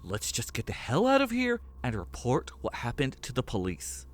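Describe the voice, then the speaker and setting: A man acts out a jumpy young man in a horror audio drama. His voice sits well above the performer's usual one and gets loud, with a panicky, exclaiming edge. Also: medium pitch, wildly swooping pitch, moderate pace, pitched above the performer's own voice. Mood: urgent fear.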